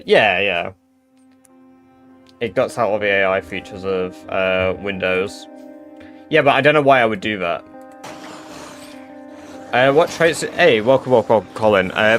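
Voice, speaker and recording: A man's voice: fairly low pitch, a bit bright. A man with an English accent chatting loosely with viewers on a live stream, full of fillers and casual swearing. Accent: English, mixed with northern English touches. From England.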